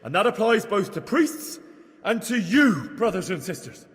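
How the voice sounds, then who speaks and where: A man playing an earnest, unsure young layman who preaches in a declamatory but halting way in a video game. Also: sits high, sing-song. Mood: preachy, earnest. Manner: declamatory but halting, stammering when flustered. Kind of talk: video game acting, improvised sermon. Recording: reverberant church hall.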